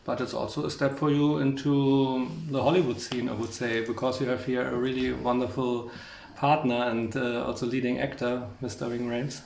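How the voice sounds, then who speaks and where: A man with a German accent interviewing casually, asking halting, meandering questions. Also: mid-range voice, level tone, creaky, darkish tone, slight background noise. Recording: echoey room.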